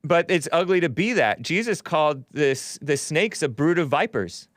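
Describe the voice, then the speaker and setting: A man's voice: on the high side, animated, moderate pace, fairly bright tone, no room sound, clean studio sound. A man hosting a call-in show, arguing scripture with callers and reading out viewer messages, with chuckles as he reads. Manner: argumentative, quotes scripture, chuckles while reading.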